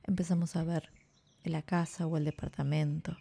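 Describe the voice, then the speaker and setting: A woman guides a relaxation meditation as a yoga instructor, soft and breathy at phrase ends, giving soothing, unhurried instructions with long pauses. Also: low-pitched, level tone.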